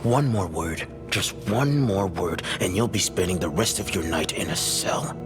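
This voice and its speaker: An actor playing a contemptuous, domineering police detective who talks in a condescending, accusatory way in a video game scene. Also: male voice, deep voice, moderate pace, lightly acted. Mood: furious. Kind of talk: dramatic video game cutscene acting. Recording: background music behind the voice.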